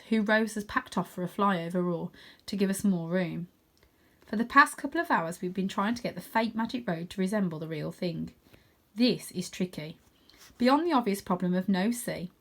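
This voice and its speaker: A woman reading a children's storybook aloud expressively, with chatty asides to her listeners. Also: mid-range voice. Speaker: reader of children's stories.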